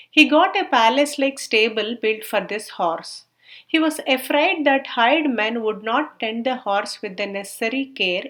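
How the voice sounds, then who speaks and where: A woman storyteller reading scripted tales aloud in an expressive manner. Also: on the high side.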